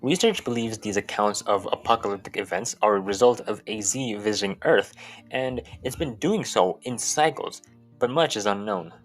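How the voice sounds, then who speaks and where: A man's voice: on the low side, moderate pace, faint music behind. A man hosting a video essay, giving an informal, sweary recap that mixes scripted narration with casual commentary.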